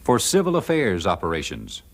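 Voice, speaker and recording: A man's voice: low voice, huge pitch swings, normal speed. A man reading scripted narration for a military training film, on a noisy old soundtrack.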